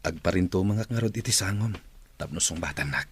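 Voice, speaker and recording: A man's voice: low voice, brisk, a little hiss or hum. An actor playing a smitten, insistent male office boss in an Ilocano-accented radio drama. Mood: tender, pleading. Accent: Filipino, Ilocano.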